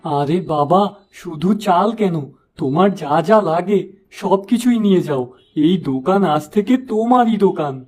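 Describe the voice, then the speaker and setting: A man's voice: high voice, expressive, moderate pace, a little mellow, soft background music. A man voicing a gullible, starry-eyed young village shop lad in a cartoon, eager and earnest. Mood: eager, awed. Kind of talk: Bengali cartoon fable voice acting.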